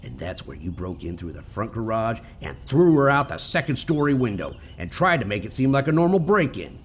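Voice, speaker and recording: A man's voice: mid-range voice, very animated, brisk, breathy edge. A man playing a stern, accusing police detective in a radio theater drama.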